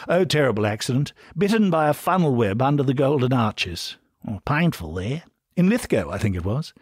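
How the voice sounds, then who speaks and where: Posh, genteel British gentleman. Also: mid-range voice, big pitch swings, normal speed, husky, no room sound, studio quality, pitched above the performer's own voice. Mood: reminiscing. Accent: upper-class British. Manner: polite, hesitant, old-fashioned diction. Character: genteel, polite, upper-class British big brother.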